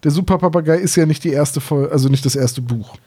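A man's voice: fairly high pitch, fast, mellow, husky. A man chatting casually as co-host of a podcast about books and audio plays.

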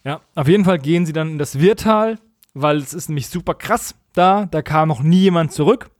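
A man's voice: a little high, a little fast, no room sound, studio quality. A man recapping stories as a podcast co-host in a colloquial, humorous, mockingly opinionated way. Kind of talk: casual humorous episode recap.

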